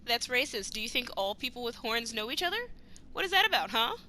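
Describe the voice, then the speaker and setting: A woman's voice: fairly high pitch, very animated, fast, bright, forceful tone, slight background noise. A young woman streaming a video game and commenting playfully, with jokey mock outrage. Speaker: gaming streamer. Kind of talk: playful commentary over gameplay. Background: game music.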